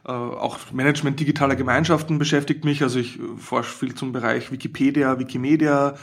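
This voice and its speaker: Austrian guy, academic guest chatting through his work in an interview, medium pitch. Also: fairly even intonation, fast talker. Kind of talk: conversational explanation of own work.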